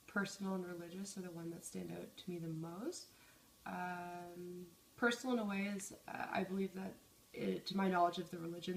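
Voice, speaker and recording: A young Canadian-raised woman answers hesitantly in an interview. Her voice is slightly low and creaky, caught from a distance in an echoey room. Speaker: student interviewee. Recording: echoey room, distant mic, faint noise.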